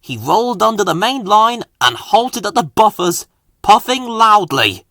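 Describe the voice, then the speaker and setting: Very animated man reading a children's story. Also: high-pitched, unhurried, dry recording, clean studio sound. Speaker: storybook narrator.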